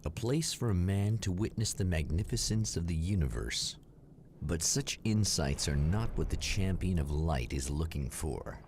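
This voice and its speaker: An extremely deep, crackling old-style mystery TV narrator, male and gravelly. He delivers each phrase solemnly and theatrically, as if every word were ominous. There is audible noise behind the voice. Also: expressive, a little mellow. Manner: portentous, deliberate, theatrical. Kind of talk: dramatic scripted narration in 1960s mystery-TV manner.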